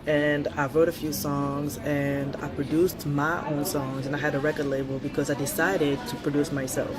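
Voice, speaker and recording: Blunt Swiss French woman in her thirties. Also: low voice. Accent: Swiss French, with a touch of British. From Switzerland. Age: 30s. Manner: blunt, chatty, laughs often, swears freely. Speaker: vlogger. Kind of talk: casual opinion vlog.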